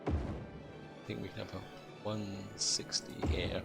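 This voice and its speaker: A British man with a croaky voice from a chest cold, chatting casually as he thinks aloud through a city-building game. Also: on the low side, little pitch movement, measured pace, loud music behind the voice. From Britain. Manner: thinks aloud, chatty, addresses viewers as guys. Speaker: gameplay commentator.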